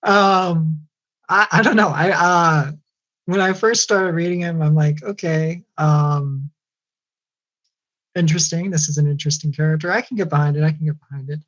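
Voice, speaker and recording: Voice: medium pitch, normal speed, fairly bright tone. A voice actor talking hesitantly with many ums and giggles, chatting loosely on a podcast. The sound is muffled and dull. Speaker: voice actor guest. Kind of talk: casual podcast chat.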